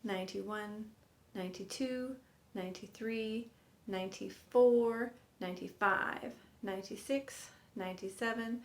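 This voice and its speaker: A woman's voice: mid-range voice, measured pace. A woman in her fifties teaching a sign language lesson, counting aloud and encouraging viewers in an upbeat way. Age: 50s. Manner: upbeat, encouraging, laughs at own slips. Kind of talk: counting aloud while demonstrating signs. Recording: echoey room.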